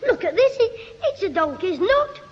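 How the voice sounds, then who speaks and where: A very high, piping voice: a cheeky Lancashire schoolboy in an old radio sitcom. He schemes and wheedles with quick backchat. The sound is muffled and hissy, in a reverberant hall. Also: big pitch swings. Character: cheeky, scheming working-class English schoolboy. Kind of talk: 1960s radio sitcom acting.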